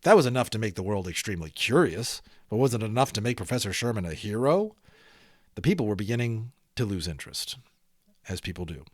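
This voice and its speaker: A man's voice: lowish voice, dry recording, clean studio sound. A man narrating a children's audiobook expressively, with dramatic emphasis and chatty asides to listeners.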